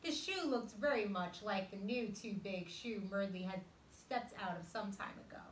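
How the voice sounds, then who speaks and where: A woman teacher reading a storybook aloud to young children in an expressive way, pausing to put questions to them. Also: medium pitch. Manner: expressive, dramatic emphasis, pausing to question listeners. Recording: roomy, distant mic with faint noise.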